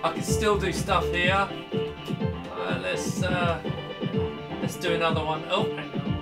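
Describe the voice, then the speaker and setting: A man's voice: sits high, steady tone, measured pace, over loud music. A man, giving an enthusiastic, rambling, casual hands-on computer demonstration. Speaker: retro computer vlogger. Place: small indoor home computer room.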